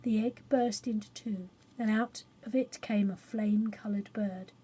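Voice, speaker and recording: A woman narrating a children's story expressively, with dramatic pauses and emphasis. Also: mid-range voice, steady tone, warm tone, breathy edge. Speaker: storyteller reading a children's book.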